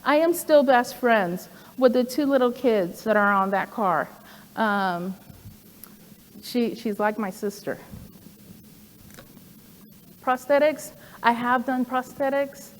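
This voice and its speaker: A woman's voice: a little high, slow pace, pauses often, a little hiss or hum. Woman in her forties speaking in a reverberant hall. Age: 40s. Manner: conversational, with frequent "um" fillers and asides. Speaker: program director giving a talk. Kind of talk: personal presentation to an audience.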